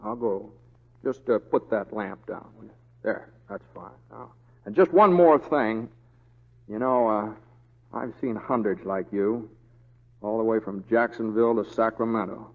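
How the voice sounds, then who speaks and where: A man's voice: on the low side, measured pace, long reverb tail. A man playing an easygoing, wry western deputy with a folksy, hesitant drawl in an old radio drama. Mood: coaxing. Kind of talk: 1940s radio acting. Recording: dull old radio recording.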